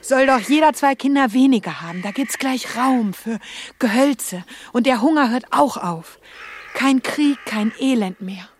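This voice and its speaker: A woman's voice: on the high side, expressive, a little slow, slight background noise. An actress playing a resolute, blunt young refugee woman in radio play dialogue, plain-spoken and feisty. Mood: resolute, scornful.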